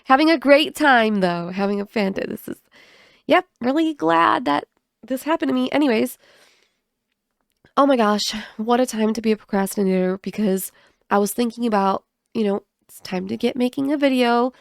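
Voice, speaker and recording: Congested woman with a gravelly voice. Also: fairly high pitch, moderate pace, no echo, studio quality. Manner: chatty, self-mocking, animated asides. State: sick, congested, sucking on a cough drop. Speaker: gaming video host. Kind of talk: casual commentary and reading aloud.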